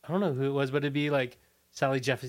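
A man with a smooth, clear voice at a middling pitch talks as an interview guest. He reminisces casually, halting often to search for words. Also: fairly even intonation.

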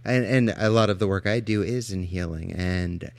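A man with a lowish, gravelly voice hosts a podcast interview. He affirms his guest often and lets filler sounds lead into his questions. The sound is dry and close. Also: moderate pace, dry recording. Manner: warm affirming interviewer, many ums. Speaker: podcast host.

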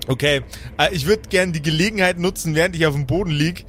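A man's voice: on the high side, fast, a bit bright, slight background noise. A man chatting casually and slangily as a player in an improvised tabletop game, often joking.

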